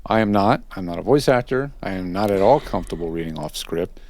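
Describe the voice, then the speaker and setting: Male podcast host, lower register with some fry, dry close sound, casual and tangent-prone interviewer. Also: animated, fast talker, a little mellow, slight background noise.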